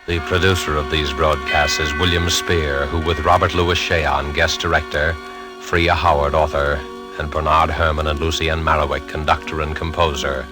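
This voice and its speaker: An extremely deep man's voice over clear music, narrating as host of a 1940s radio mystery series. His delivery is formal, measured and ominous. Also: level tone.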